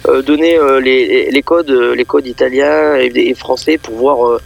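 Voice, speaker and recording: A man speaking off the cuff as a festival organiser and guest in a radio interview, halting and often repeating words mid-phrase. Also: mid-range voice, steady tone, vocal fry.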